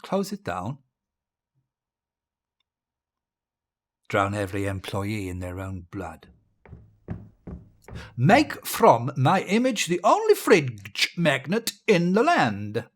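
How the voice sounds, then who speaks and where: An actor playing a dying, deranged dictator, cruel, grandiose and childish, in a theatrical audio drama monologue. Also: male voice, mid-range voice, wildly swooping pitch, exaggerated voice. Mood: imperious, ranting. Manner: theatrical, volatile, slow and deliberate, swinging from hush to bellow.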